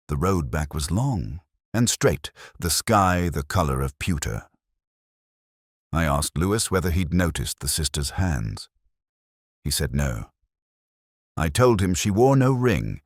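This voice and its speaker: A melancholy, erudite police inspector narrating a mystery radio drama. His voice is exceptionally deep, with animated intonation that swings within measured, literary phrasing. The sound is dry, and the manner is brooding. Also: no echo, heavily acted. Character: melancholy, erudite male detective inspector recounting a case. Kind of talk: scripted first-person mystery drama narration.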